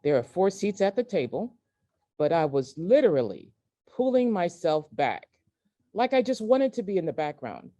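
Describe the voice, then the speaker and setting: A woman reading memoir excerpts aloud in a measured, emphatic way, with deliberate pauses. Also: lowish voice, very animated, dark tone, muffled recording. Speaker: guest reader at a virtual event. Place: indoors at a community center, on an online event.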